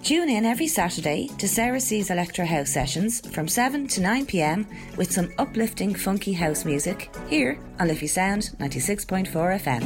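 A woman's voice: medium pitch, expressive, fairly quick, few pauses, over loud music. A woman announcing a scripted radio station promo.

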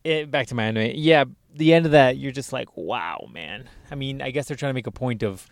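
A man with a noticeably nasal voice talks in a loose, animated way, cursing casually as he chats. The sound is dry and close, with no room around it. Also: medium pitch, a little fast, gravelly, no room sound. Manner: animated, swears casually, laughs easily. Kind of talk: casual chat. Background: sitting in a parked car.

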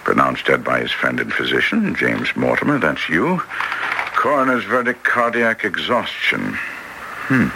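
An actor playing a cool, skeptical detective with a dry, clipped, deliberate manner in old radio drama style. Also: male voice, extremely low pitch, big pitch swings, vocal fry, full character voice. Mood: musing, dry. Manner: clipped, deliberate, dryly questioning. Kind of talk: 1940s radio drama acting. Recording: noisy old radio broadcast recording.

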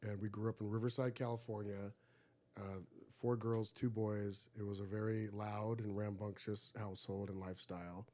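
A man's voice: lowish voice, level tone, moderate pace, warm tone. A man hosting a casual podcast in a studio, chatting and joking in a loose, rambling way. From Egypt. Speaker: podcast host.

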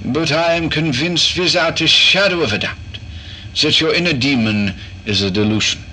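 A man's voice: on the high side, moderate pace, bright, forceful tone, a little hiss or hum, poor audio. A man playing an eccentric, rational doctor in a horror audio drama, speaking formally and emphatically. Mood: skeptical, assured. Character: eccentric male doctor, certain and rational, keeping a log.

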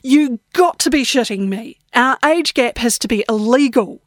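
A woman's voice: fairly high pitch, lively intonation, normal speed, dry recording, clean studio sound, natural voice. A woman voicing a tough, hot-tempered young woman with a blunt, combative way of talking in an audiobook. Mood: incredulous, flippant.

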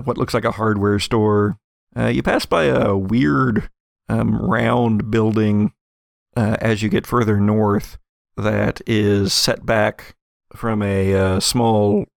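An American man narrates a tabletop adventure as its game master. His voice has a creaky, fry-laden edge and sits very close and dry. He takes his time, feeling out descriptions in halting stretches at a slightly slow pace. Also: on the low side, no room sound. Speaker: game master of an actual-play audio drama.

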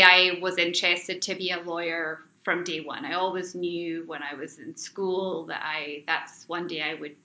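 A woman's voice: fairly low pitch, bright, forceful tone. A middle-aged woman with a Scottish accent, chatting through interview answers and often repeating phrases as she rephrases. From Scotland. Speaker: interview guest, lawyer. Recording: slightly dull, roomy interview recording.

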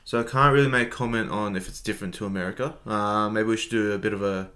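A man's voice: lowish voice, fairly even intonation, fast, pushed and bright. A young man from Melbourne with an Australian accent, chatting casually and dryly as a podcast co-host.

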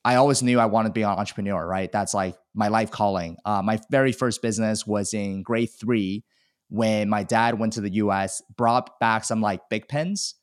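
A man's voice: on the low side, normal speed, no echo, clean studio sound. A man in his thirties from China, telling his life story candidly as a podcast guest, self-deprecating and chatty. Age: 30s. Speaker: guest, e-commerce seller. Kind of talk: casual podcast interview.

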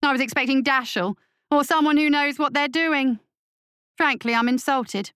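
A cruel female witch who leads a gang, played by a woman narrator in a fantasy audiobook. Her voice is pitched high and dry, thundering and sneering, with mocking menace in the way she taunts. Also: moderate pace, fairly bright tone, no echo. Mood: contemptuous.

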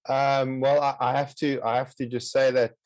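A man's voice: mid-range voice, level tone, normal speed, dry recording. A man from South Africa whose first language is Afrikaans, speaking with an Afrikaans accent as an interview guest, often repeating words and restarting sentences. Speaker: guest, project co-founder. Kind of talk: casual interview conversation.

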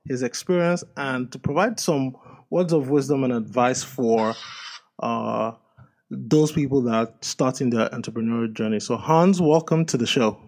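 A man's voice: medium pitch, normal speed, darkish tone. A man hosting an interview podcast, introducing his guest and asking upbeat questions. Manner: upbeat, reads sponsor copy then asks questions. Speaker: podcast host.

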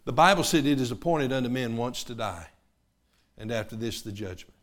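A man, preaching a sermon. His delivery swings from loud, fervent preaching to quiet personal asides. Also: medium pitch, expressive, fairly quick. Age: 50s.

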